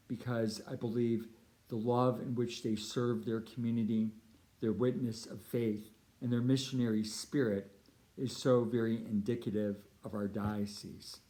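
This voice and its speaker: A middle-aged man with a Californian American accent, a priest giving a prepared appeal talk in measured, deliberate phrases. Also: mid-range voice, steady tone, mellow, poor audio. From United States (Chicago and Southern California).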